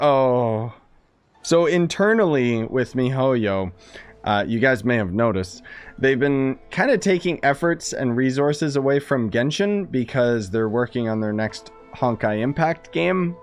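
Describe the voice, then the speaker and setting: A man's voice: medium pitch, takes it slowly, clean tone. A man giving casual live game commentary as a streamer, wry, sarcastic and rambling. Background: game music.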